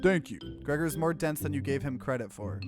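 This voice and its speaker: Higher-voiced man narrating a game playthrough. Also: lively intonation, moderate pace, over music. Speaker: let's play host. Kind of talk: gaming commentary and reading game text aloud.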